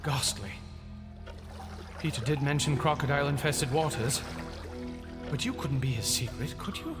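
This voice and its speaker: An actor playing an indignant, suspicious British banker in an audio drama, talking in a theatrical, exclaiming way. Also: male voice, a little high, a little slow, close to an everyday voice. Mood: startled, wary. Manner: theatrical, muttering to himself, exclaiming. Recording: loud music behind the voice. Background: music.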